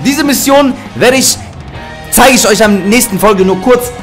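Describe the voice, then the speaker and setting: A man's voice: very high voice, measured pace. A man in his twenties ranting and cursing as he plays and comments for his viewers, recorded at home on a studio microphone. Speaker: gaming YouTuber. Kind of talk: angry let's-play commentary. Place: small room at home. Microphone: studio mic on arm with headphones. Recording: game music loud behind voice.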